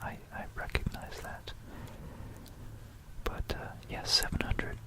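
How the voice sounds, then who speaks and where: A whispered voice delivers an ASMR history talk at a very unhurried pace. The delivery is informal and musing, with self-corrections along the way. It is captured close on a binaural microphone. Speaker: ASMR video creator. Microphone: close stereo binaural microphone.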